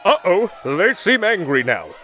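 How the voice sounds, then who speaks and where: A man's voice: sits high, very animated, a little slow, pushed and bright, exaggerated voice. A man playing a bold, earnest field news reporter in an audio drama. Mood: panicked, pleading.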